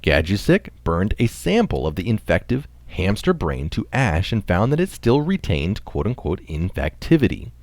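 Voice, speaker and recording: A man's voice: low voice, huge pitch swings, normal speed, few pauses, a little mellow, no echo. A man reading a science article aloud as scripted narration. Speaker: article reader.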